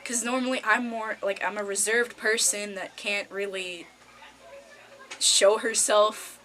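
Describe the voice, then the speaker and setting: A woman's voice: medium pitch, steady tone, measured pace, bright, forceful tone. A teenage female theater student giving reflective, casual answers in an interview. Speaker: high school theater student. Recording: faint background noise.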